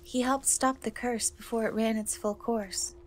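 A woman playing a gentle, wistful young woman cursed into a half-cat, in a soft, hesitant dark fantasy ASMR roleplay. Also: on the high side, steady tone, a little hiss or hum, close to an everyday voice. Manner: hesitant, soft, slow, often trailing off.

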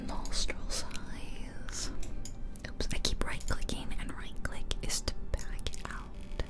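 A woman whispering ASMR game commentary up close to the microphone, musing aloud and chatting directly to listeners. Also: slow. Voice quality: unvoiced whisper throughout. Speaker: ASMR game creator and host. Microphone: close ear-to-ear ASMR microphone.

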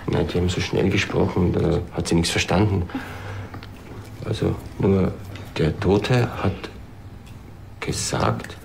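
An actor playing a puzzled husband giving a witness statement in a halting, unsure way. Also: male voice, low-pitched, vocal fry, a little mellow, a little hiss or hum, heavily acted. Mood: hesitant. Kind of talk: naturalistic TV drama acting.

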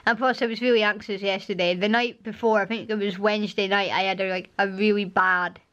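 A young Scottish woman with a strained, hoarse voice, chatting in a rambling home vlog and laughing often, filmed at arm's length in her living room. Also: mid-range voice, fairly even intonation, fairly quick, fairly bright tone, dull audio. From Scotland. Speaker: vlogger. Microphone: camera at arm's length.

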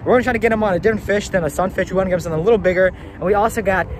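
A young man hosting a fishing vlog outdoors, talking excitedly to a handheld camera as he narrates each catch. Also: high-pitched, rapid-fire, background noise. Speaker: fishing vlogger host. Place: outdoors by a pond and city river.

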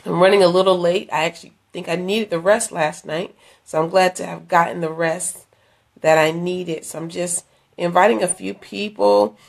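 Lady getting over a cold, gravelly lowish voice, talking into her phone at arm's length, chatty with lots of ums. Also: steady tone, normal speed. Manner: chatty, earnest, with many fillers and restarts. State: hoarse from a cold. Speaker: ministry leader promoting an event. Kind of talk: casual live-stream invitation. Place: dim indoor room. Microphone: phone held at arm's length.

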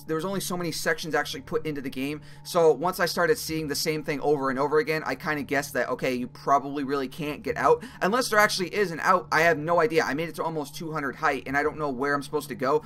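A young man with a slightly raspy voice giving casual, excitable commentary while playing a game, speaking into a headset mic. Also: fairly high pitch, fast. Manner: excitable, swears and yells when he fails. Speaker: gaming video creator. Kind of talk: casual let's-play commentary.

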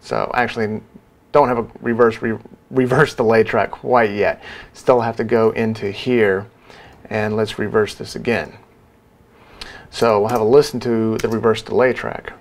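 A man in his thirties giving an informal software tutorial, casually talking through each step. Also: lowish voice, level tone, unhurried. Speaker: sound design tutorial presenter.

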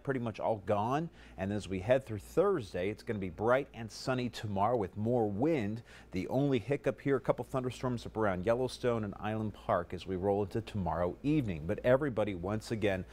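A man in his thirties giving a live TV weather forecast in a studio, brisk and conversational, running through numbers steadily on a lapel mic. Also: fairly low pitch, a little mellow, slight background noise. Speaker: TV meteorologist. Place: TV studio, in front of a weather map.

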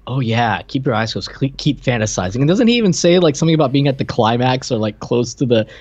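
A man's voice: medium pitch, very animated, fast, a little mellow, dry recording. Dry-sounding man casually recapping a film. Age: late 30s. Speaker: podcast co-host. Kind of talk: casual movie discussion, joking. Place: home room on a video call. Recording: dry, slightly dull home recording.

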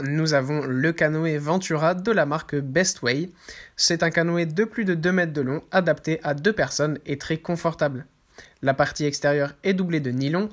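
A man narrates a product top-three as its host, his voice sitting a little high for a man. He reads steadily from a script, stumbling now and then on a filler before carrying on. It is a voice-over for a review video. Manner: steady reading with occasional hesitations. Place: voice-over, not the pictured scenes.

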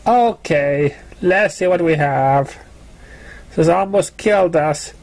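A man's voice: on the high side, slow pace, a little mellow, slight background noise, voice pushed up. A man voicing an exasperated older female pony looking after a filly, frantic and scolding, in a storybook reading. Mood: resigned.

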